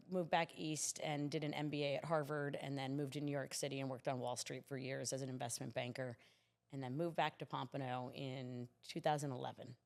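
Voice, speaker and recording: A woman's voice: very deep voice, gravelly, a little mellow, big-room sound. A middle-aged American woman speaking conversationally as a guest in a podcast interview. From United States. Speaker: interview guest, city commissioner.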